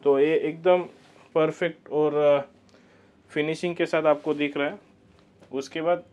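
A man's voice: on the high side, muffled recording. A man teaching a step-by-step baking demonstration in a commercial bakery kitchen, patiently repeating the key warnings.

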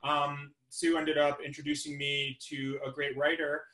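A man talking as a showrunner in a panel discussion, measured and candid, recorded over a video call in an echoey room. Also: a little high, flat delivery, bright, forceful tone.

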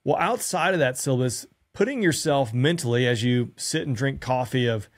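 An earnest man in his thirties talks straight to camera through a studio microphone in a small room. He coaches pilots in a conversational, practical way and keeps posing rhetorical questions. His voice sits at an ordinary middle pitch. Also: animated, moderate pace. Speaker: former fighter pilot giving flying advice. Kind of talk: direct-to-camera instructional talk. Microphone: studio mic on stand in front of him.

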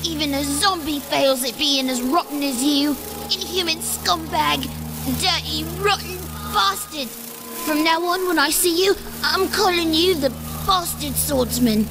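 A woman's voice: high-pitched, measured pace, noisy background. A woman voicing an earnest, timid young village girl in a dramatic comic dub. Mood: indignant, scolding. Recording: loud music under the voice.

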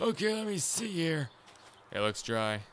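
A man playing well drillers in a dramatized story, calling out back and forth over a loud running engine. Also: a little high, big pitch swings, measured pace, pitched above the performer's own voice. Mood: calling out, puzzled. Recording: loud engine noise over distant voices.

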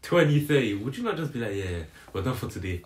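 A man's voice: medium pitch, sing-song, quick pace, crowd noise. A young man chatting casually and jokingly as a reaction co-host, slangy and repeating phrases for emphasis. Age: young adult. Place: small living room, seated on a couch. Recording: echoey room, slightly distant mic. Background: music.

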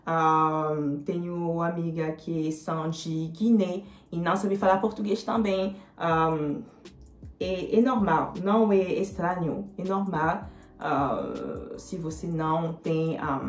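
A young woman in her twenties, a native French speaker with a French accent, chatting to camera with many fillers and pauses as she answers viewer comments. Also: fairly low pitch, reverberant. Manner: hesitant, many fillers, switches into English. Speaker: vlogger. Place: small indoor room.

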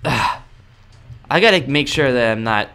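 A young man improvising in-character gameplay roleplay in a cocky, dramatic, excitable manner. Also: medium pitch, unhurried, clear, resonant tone, faint music behind. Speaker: gaming roleplay video creator.

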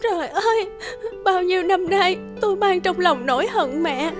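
An actress playing an anguished, emotional woman who pleads and grieves in an animated drama. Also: extremely high pitch, moderate pace, music in the background. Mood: grieving.

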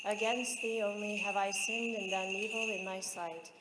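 A woman's voice: medium pitch, fairly even intonation, normal speed. Woman liturgical reader, solemn and formal, very noisy recording in a big echoing space. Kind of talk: solemn chanted recitation of psalms and hymns. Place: large reverberant interior. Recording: echoey reverberant hall, loud background noise.

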